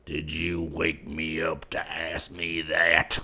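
A hard old rancher with a deep, slow growl that snarls through every curse, as a man puts him on. His voice stays low and heavy, impatient with whoever is talking to him. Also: flat delivery, pushed and bright, heavily acted. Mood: snarling.

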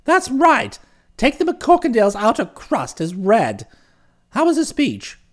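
A man playing a genial, excitable young upper-class English gentleman in an audiobook, breezy and chatty, with an upper-class British accent. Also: extremely high pitch, a little slow, heavily acted. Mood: eager, astonished. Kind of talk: audiobook first-person narration and dialogue.

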